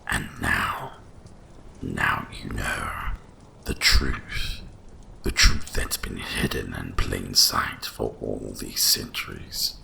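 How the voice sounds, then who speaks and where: Cavernous, growling ancient dragon. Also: male voice, bright, forceful tone, slight background noise, heavily acted. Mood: grand, revealing. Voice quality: deep gravelly breathy whisper. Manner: slow, solemn, deliberate delivery. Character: ancient wise dragon, guardian of winter and Christmas. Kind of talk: ASMR roleplay storytelling.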